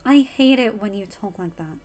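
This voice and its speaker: A woman voicing a feisty, rebellious young highborn woman who argues sharply and defiantly in a raised, lifted voice. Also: lively intonation, normal speed, darkish tone, highs cut off, faint music behind, close to an everyday voice.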